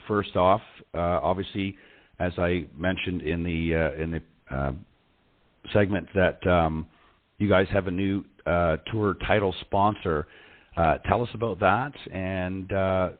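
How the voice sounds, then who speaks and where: A man with a very low, deep voice hosts a golf talk show. He is a little hoarse and gravelly, and he talks easily with plenty of fillers and restarts. The sound is thin and narrow, as if heard over a phone line. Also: measured pace. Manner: relaxed, filler-heavy interviewer. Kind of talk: casual radio show hosting. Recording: phone-like narrow band audio.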